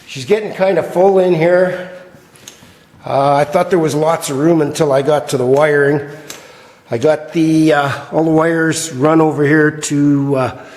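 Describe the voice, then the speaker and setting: A slightly hoarse man casually talking through his work in a shop garage, with many fillers and some throat clearing. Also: on the high side, measured pace. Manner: relaxed, rambling, many "uh" fillers. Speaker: garage builder showing his project. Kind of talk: casual workshop vlog. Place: large shop garage, indoors. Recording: reverberant garage with long echo.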